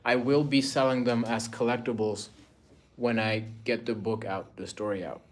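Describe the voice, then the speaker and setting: A young man from Rwanda chatting casually on a phone livestream at home, showing his art and answering viewer comments in a relaxed, rambling way. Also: mid-range voice, moderate pace. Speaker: artist livestreaming. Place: indoors at home among his paintings. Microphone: phone camera, moving around.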